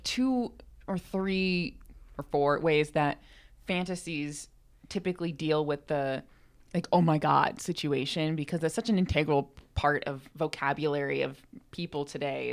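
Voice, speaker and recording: A woman with a notably low-pitched voice chats casually as a podcast co-host. Her delivery is enthusiastic and emphatic, in the loose style of informal conversation. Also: moderate pace.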